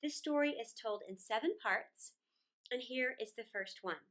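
A middle-aged woman reading a children's story aloud in a theatrical, deliberate way, drawing out words with dramatic pauses. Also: fairly high pitch, fairly bright tone. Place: small indoor room against a plain wall.

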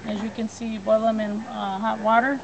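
A woman's voice: on the high side, level tone, a little fast, gravelly, muffled recording, crowd noise. A woman giving plain step-by-step cooking instructions in a backyard. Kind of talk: cooking demonstration. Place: backyard outdoors. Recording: outdoor background noise.

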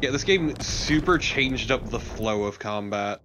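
A man's voice: medium pitch, animated, a little slow, background noise. A man talking casually as a co-commentator on a video game. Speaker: let's play co-commentator.

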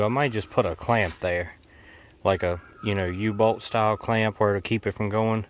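A man's voice: fairly low pitch, smooth. A man with a Southern US accent talking casually and off the cuff through a homestead DIY project, folksy and plain-spoken, rambling a little. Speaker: homestead DIY video maker. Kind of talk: casual unscripted how-to narration. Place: outdoors on a rural homestead.

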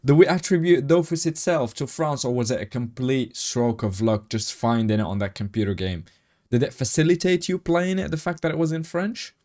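A man hosting a podcast interview, enthusiastic and quick to laugh, from Morocco. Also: medium pitch, a little mellow. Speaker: podcast host. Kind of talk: casual interview chat.

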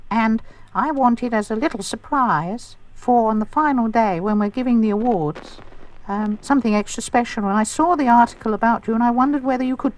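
A man's voice: extremely high pitch, fairly quick, voice pushed up. A man voicing a prim, genteel middle-aged chairwoman on a prank phone call, polite and hesitant. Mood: polite, eager.

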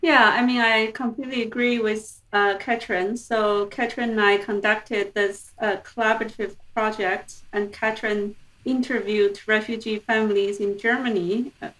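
A woman's voice: mid-range voice, steady tone, moderate pace, a bit bright. A woman in her forties, an academic guest, explaining research conversationally on a video call from home. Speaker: guest professor. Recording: slightly distant video-call microphone.

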